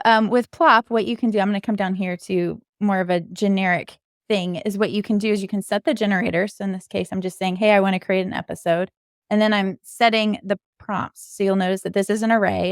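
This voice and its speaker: Woman, medium pitch, gravelly fry, explanatory step-by-step manner, informal tech walkthrough, dry sound. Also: no echo. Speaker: podcast co-host giving a demo as guest. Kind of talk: informal screen-share coding walkthrough.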